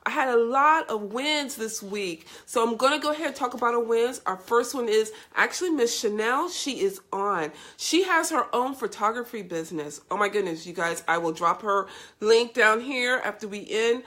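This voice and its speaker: A woman's voice: fairly high pitch, lively intonation, normal speed, fairly bright tone. A woman hosting a casual live stream, upbeat and effusive, greeting viewers by name, with a congested, scratchy voice from a cold. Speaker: host of a live video show.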